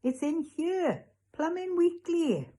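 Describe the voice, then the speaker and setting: A woman's voice: medium pitch, very animated, normal speed, slightly rough, pitched above the performer's own voice. A woman voicing a polite, sceptical young boy in a storybook, questioning and incredulous. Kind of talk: storybook character voice.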